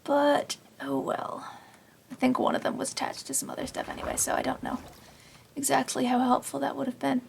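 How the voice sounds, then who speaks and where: A woman casually narrating her Minecraft game, rambling and self-deprecating, her voice slightly raspy from long talking. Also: high voice, flat delivery, brisk, a little hiss or hum. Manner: rambling, self-deprecating, talks to herself while playing. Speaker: gaming channel host. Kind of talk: casual Minecraft let's play commentary.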